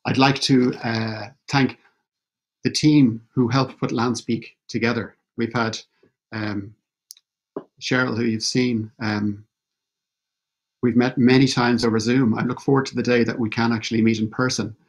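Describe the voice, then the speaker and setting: A man, opening an online event over a video call, measured and halting with fillers. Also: medium pitch, level tone. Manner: measured, with many fillers and restarts. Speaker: event host. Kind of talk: formal opening speech. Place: indoor room at home. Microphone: video call webcam.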